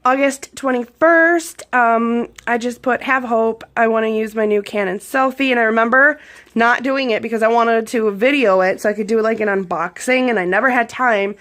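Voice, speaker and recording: Hoarse woman, thirties, rambling casual vlog chat, lots of ums, slightly high voice. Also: moderate pace, fairly bright tone. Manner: rambling, chatty, with frequent ums. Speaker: YouTube vlogger. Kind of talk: casual journal flip-through.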